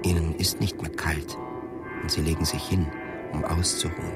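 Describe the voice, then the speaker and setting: A man narrating a literary radio drama in a measured, grave, deliberate manner. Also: extremely low pitch, level tone, over loud music.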